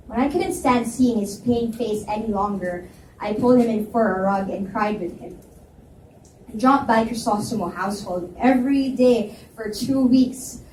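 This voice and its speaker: A woman reads a story aloud in the first person, with a medium-pitched voice. She is some way from the mic in an echoey room, with faint noise. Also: darkish tone, distant mic. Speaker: reader narrating a story. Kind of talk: live first-person story narration with dialogue. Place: indoor reading event at a library.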